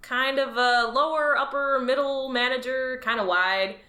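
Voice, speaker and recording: A woman's voice: high voice, a little slow, sharp tone, reverberant. A woman playing a cheerful, naive new alien employee, chipper and earnest. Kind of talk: audio drama.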